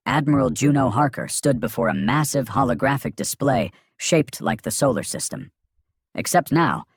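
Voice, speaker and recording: A man's voice: medium pitch, husky, no echo, clean studio sound. A man narrating a dramatic science fiction story in a grave, ominous manner with short, emphatic phrases.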